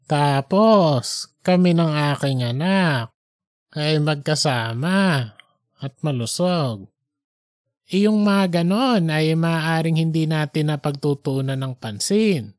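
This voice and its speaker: Someone voicing a slow, pious old man. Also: mid-range voice, a little mellow, no echo, clean studio sound, close to an everyday voice. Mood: devout, grateful. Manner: slow, deliberate, hesitant openers. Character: pious, earnest 87-year-old Filipino grandfatherly man. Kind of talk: fictional audiobook character dialogue.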